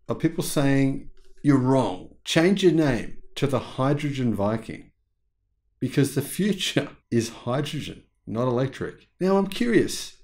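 A man in his thirties with an Australian accent, hosting his own channel with animated, chatty commentary to camera from a small curtained room. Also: mid-range voice. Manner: animated, chatty, jokey asides. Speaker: channel host.

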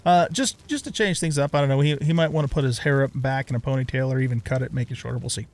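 A man's voice: medium pitch, fast talker. A middle-aged man giving casual let's play commentary, rambling as he thinks aloud.

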